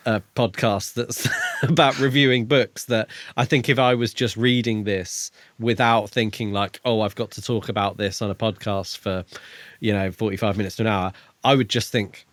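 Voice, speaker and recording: Man, low dry-recorded podcast voice. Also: fairly even intonation, normal speed, a bit bright, dry recording. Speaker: podcast co-host. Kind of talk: casual book review chat.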